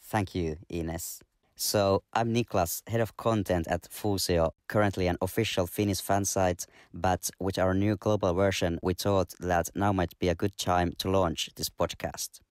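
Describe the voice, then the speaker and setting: A man co-hosting a podcast, introducing the show and its guests in a measured, formal way. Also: sits low, fairly even intonation, no room sound, clean studio sound. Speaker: podcast co-host.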